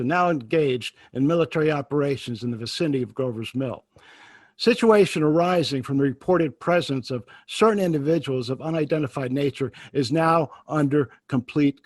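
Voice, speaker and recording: A brisk army captain reporting from the field in a radio drama. His voice is somewhat high for a man and sounds dry and close, with no room around it. He talks in an assured, officer-like manner. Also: no room sound. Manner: brisk, assured, then hesitant. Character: confident male army signal captain reporting.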